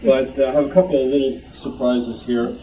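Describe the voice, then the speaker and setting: A man giving an informal show-and-tell talk as a club member, with a halting, rambling delivery. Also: mid-range voice, fairly even intonation, mellow, far from the mic, highs cut off. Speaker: club member presenting vintage items. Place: club meeting room. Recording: noisy room, picked up from afar.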